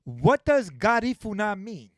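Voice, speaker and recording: A man with a notably high voice hosts a casual livestream interview. He is emphatic and drives points home by repeating phrases. The sound is dry, with no room echo. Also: big pitch swings, measured pace. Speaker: livestream host.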